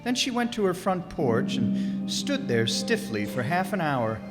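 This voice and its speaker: A man's voice: a little high, big pitch swings, measured pace. A man narrating a scripted story as part of a radio drama. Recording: echoey hall, live stage recording. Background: music.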